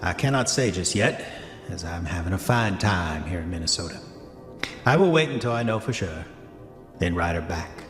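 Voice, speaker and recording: A man's voice: sits low, expressive. An actor playing a roguish, haunted gold prospector reading his diary in formal old-fashioned words for a horror audio drama. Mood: smug, irritated. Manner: formal old-fashioned diction, deliberate, self-justifying. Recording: echoey hall reverb, music underneath.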